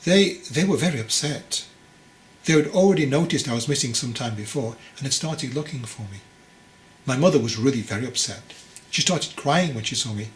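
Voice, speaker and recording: A man's voice: mid-range voice, animated, moderate pace, echoey room, close to an everyday voice. A man voicing a fragile, anxious young would-be monk in a storybook, hesitant, earnest and deferential. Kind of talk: storybook character dialogue.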